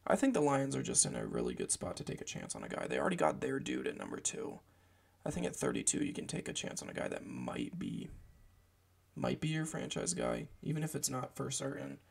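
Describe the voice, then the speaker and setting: A young man with a gravelly voice, hoarse from a cold, giving casual football draft commentary from a small room at home, rambling with lots of fillers. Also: medium pitch, wildly swooping pitch, brisk. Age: young adult. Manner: meandering, filler-heavy, self-correcting.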